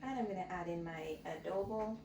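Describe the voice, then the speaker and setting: A woman hosting a cooking channel, explaining each step in a slow, friendly way from her home kitchen. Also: on the low side, very noisy. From Guyana. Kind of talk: casual cooking tutorial. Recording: echoey kitchen with clattering pots.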